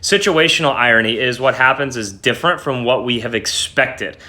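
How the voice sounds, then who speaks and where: Young adult man, teacher, informal lesson, medium pitch, bright tone, emphatic, hesitant fillers, home recording. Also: normal speed. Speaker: English teacher. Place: small wood-panelled room at home, indoors. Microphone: phone or laptop at arm's length.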